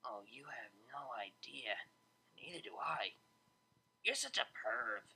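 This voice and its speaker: A brash young female unicorn from a storybook , as a narrator puts her on. The talk is casual and teasing, bright, with lively swings in pitch. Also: slow, creaky, breathy edge, exaggerated voice, poor audio. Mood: muttered mockery. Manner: casual, slangy, hesitant joking.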